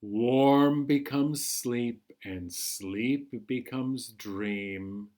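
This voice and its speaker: A man hosting a children's storytime, reading a picture book aloud in a measured, singsong way. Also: mid-range voice, darkish tone. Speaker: children's storytime host at a library.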